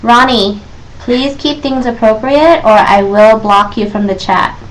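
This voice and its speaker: A woman's voice: fairly high pitch, a little slow, rough recording. A woman co-hosting a live stream, reading out viewer questions in a plain, matter-of-fact way and moderating the chat.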